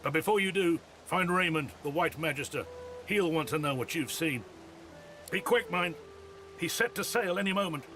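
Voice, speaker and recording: An actor playing a gruff, watchful older town guard in a video game, brusque and commanding. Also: male voice, on the high side, moderate pace, long pauses, natural voice. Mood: curt, instructing. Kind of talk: video game character acting.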